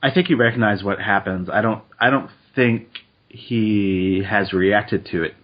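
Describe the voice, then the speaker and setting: A man with a low, slightly husky voice co-hosts a podcast. He talks in a measured, reflective way and often hedges. The audio is dull and lo-fi. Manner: measured, reflective, hedging with uh and I think. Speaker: podcast co-host. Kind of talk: casual chat.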